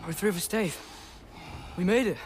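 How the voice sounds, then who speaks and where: A man plays a loyal, sensitive teenage adventurer in a cinematic action game. His voice is very high for a male, with earnest, informal phrasing. Loud noise runs behind the speech. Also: moderate pace, natural voice. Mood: subdued, wistful. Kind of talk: cinematic video game acting.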